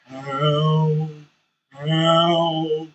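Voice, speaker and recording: Trucker vlogger, male, rambling and candid, slightly high voice, talks slowly from his truck cab. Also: little pitch movement, fairly bright tone, muffled recording, slight background noise. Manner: rambling, candid, self-conscious. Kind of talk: casual chat while driving.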